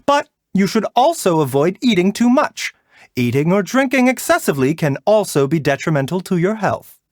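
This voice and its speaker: A man voicing a gentle, refined video game doctor who is polite, soft-spoken, caring and a little wry. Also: sits high, big pitch swings, no room sound, clean studio sound. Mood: gently admonishing. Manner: measured, soft-spoken, polite, lightly teasing. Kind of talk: video game character voice lines.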